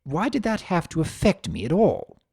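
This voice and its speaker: Man voicing a sardonic, animated chaos creature. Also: fairly high pitch, moderate pace, husky, no echo, clean studio sound, voice pushed up, exaggerated voice. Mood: sour, angry. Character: grumpy, possessive chaos creature narrating, secretly tender, sardonic. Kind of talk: first-person dramatic reading of fan fiction.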